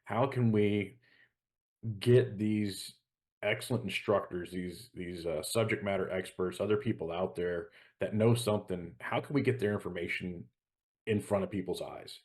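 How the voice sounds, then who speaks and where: A man's voice: low-pitched, fairly even intonation, moderate pace, a little mellow. A middle-aged man co-hosting a show, explaining things in an earnest, conversational way. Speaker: show co-host.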